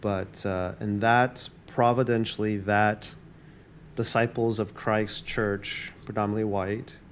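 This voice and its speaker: A man's voice: lowish voice, flat delivery, slow, a little hiss or hum. A man born in Taiwan, a campus minister, talking reflectively in an interview with many fillers and restarts.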